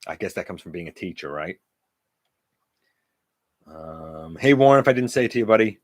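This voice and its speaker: Man, middle-aged, loud carrying voice, casual livestream host, chatty, dry close sound. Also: deep voice, expressive, moderate pace, dry recording. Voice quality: loud voice that carries. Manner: chatty, reads out viewer comments and answers them. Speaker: teacher. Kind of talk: casual live-stream chat with viewers.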